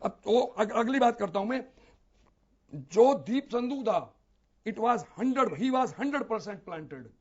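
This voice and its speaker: A man's voice: very high-pitched, animated, takes it slowly, darkish tone. A man arguing as a panelist in a political debate, emphatic and repeating his points. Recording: dull, lo-fi broadcast audio.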